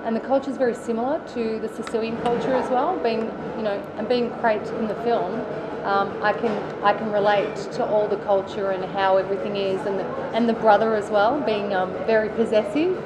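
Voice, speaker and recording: An Australian woman talks casually through interview answers, circling back with fillers and restarts. Her voice sits at an ordinary middle pitch. A loud steady noise runs under the whole recording. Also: brisk. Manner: chatty, many fillers and restarts. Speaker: actress interviewee.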